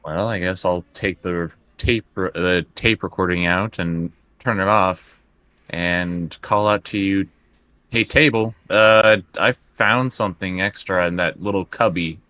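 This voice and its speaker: A man playing a tabletop roleplaying game, improvising his character's actions in halting, casual talk. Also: deep voice, bright, forceful tone, dry recording.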